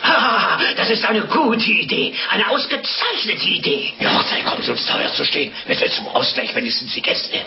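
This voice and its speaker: A blunt, impatient innkeeper who schemes and blusters. His voice is high and very animated, excitable and sarcastic. It is an old 1950s radio play recording, muffled and dull. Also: moderate pace, creaky, few pauses, over music, exaggerated voice. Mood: scheming.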